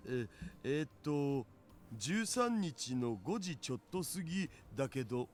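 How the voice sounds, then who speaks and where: An actor voicing a laid-back young geek friend who talks in slang in a Japanese visual novel. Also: male voice, mid-range voice, big pitch swings, normal speed, close to an everyday voice. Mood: puzzled.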